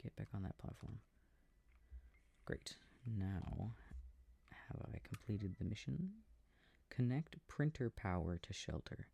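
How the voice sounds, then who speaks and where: A man playing an affectionate boyfriend in an intimate ASMR sleep-aid roleplay, murmuring and rambling softly with a breathy voice, close to the microphone. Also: fairly low pitch, lively intonation, slow, long pauses, no room sound, full character voice. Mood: absorbed, mildly puzzled. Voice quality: soft, husky, breathy. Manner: murmured, rambling, gently bemused.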